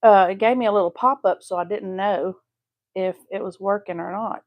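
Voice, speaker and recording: A woman's voice: mid-range voice, steady tone, normal speed, muffled recording. A woman with a Southeast Texas accent hosting a live craft stream, chatting homely with viewers as she paints. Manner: chatty, homey, talks to viewers while painting. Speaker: craft channel host.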